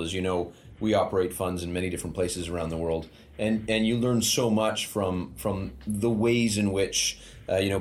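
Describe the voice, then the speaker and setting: A man hosting a podcast interview, talking casually with many fillers and restarts. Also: low voice. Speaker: podcast host.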